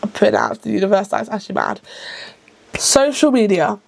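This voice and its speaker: A chatty woman of about thirty, talking casually to the camera as a book vlogger. She rambles and often stops to correct herself mid-sentence, sometimes rushing her words. Her voice sits at an ordinary middle pitch. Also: big pitch swings, creaky, a little mellow. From England. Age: around thirty. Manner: rushed at times.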